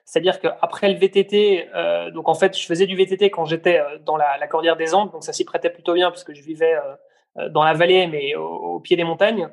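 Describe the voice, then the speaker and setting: Hesitant young man, slightly high. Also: level tone, brisk, vocal fry. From Belgium. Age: 20s. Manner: hesitant, many fillers and restarts. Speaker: guest, brand founder. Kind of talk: casual interview answers.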